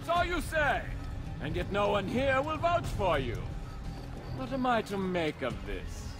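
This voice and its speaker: A menacing game villain, a knight commander eaten by fear. His voice sits very high for a man and swings widely as he accuses and commands, with loud music behind him. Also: sing-song, a little slow. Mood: suspicious, accusing. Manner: accusatory, theatrical, imperious. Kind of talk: video game villain acting.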